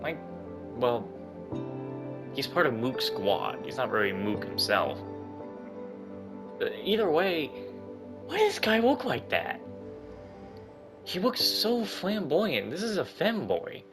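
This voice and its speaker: A man's voice: a little high, very animated, slow pace, long pauses. A man giving casual, rambling gaming commentary, reacting aloud as he plays. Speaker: gaming YouTuber. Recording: loud game music behind commentary.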